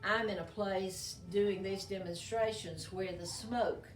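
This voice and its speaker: An older woman with a slightly hoarse voice, explaining herbal remedies in a folksy, rambling way with many ums and restarts, indoors at a museum's old doctor's office. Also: medium pitch, fairly even intonation, slow pace, soft background music. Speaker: herbal medicine demonstrator. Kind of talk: casual show-and-tell explanation. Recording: echoey room, mic at a distance.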